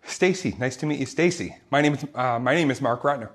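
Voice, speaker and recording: A man playing a shy, nervous teenage boy in a comic script read, husky and stammering. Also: mid-range voice, lightly acted. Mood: nervous, flustered. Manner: stammering, halting, nervous laughs. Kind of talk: comic screenplay table read.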